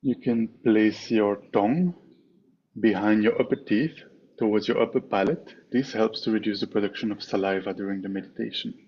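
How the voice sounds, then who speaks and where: A man with a fairly low voice leads a guided meditation as a teacher. He speaks in short, measured phrases with frequent pauses. The recording sounds dull and muffled, like an online call, with some noise behind him.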